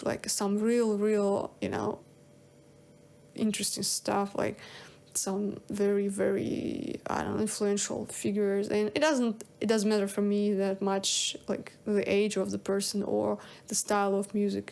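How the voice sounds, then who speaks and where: A woman's voice: medium pitch, vocal fry, a little mellow. A young woman from Kazakhstan, a singer-songwriter, talking casually on her own show, with lots of fillers and restarts. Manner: rambling, hesitant, many fillers and restarts. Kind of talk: casual solo monologue.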